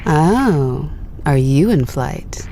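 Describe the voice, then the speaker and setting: An actress playing an ambitious, excitable young female space explorer in a sci-fi audio drama, eager and wry. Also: low voice, extremely slow, a little hiss or hum, full character voice. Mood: friendly, guarded.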